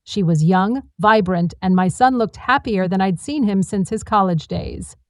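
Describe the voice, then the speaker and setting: A woman narrates a scripted family drama in the first person. Her voice sits at a middle pitch for a woman, and the recording is very dry, with no room around it. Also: lively intonation, moderate pace, dry recording.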